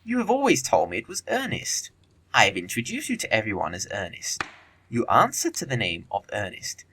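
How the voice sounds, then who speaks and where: A man acting a witty, teasing young English gentleman in an audio play of a period comedy, with an arch, theatrical delivery. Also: fairly low pitch, huge pitch swings, fairly quick, a little hiss or hum, exaggerated voice. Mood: triumphant, teasing.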